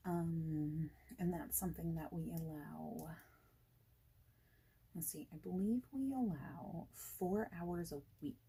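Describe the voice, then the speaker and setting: Soft, creaky-voiced woman doing ASMR. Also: fairly low pitch, poor audio. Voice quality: soft, breathy, often dropping toward a whisper. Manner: informal, reassuring, rambling chat. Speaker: ASMR creator playing a friendly boss in a job interview roleplay. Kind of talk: ASMR roleplay, soft speaking with whispering, improvised.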